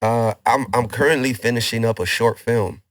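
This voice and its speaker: Laid-back rapper from LA. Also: male voice, mid-range voice, normal speed, a bit bright, dry recording. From Los Angeles, Boyle Heights. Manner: laid-back street slang, many restarts. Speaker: rapper guest. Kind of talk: casual interview chat.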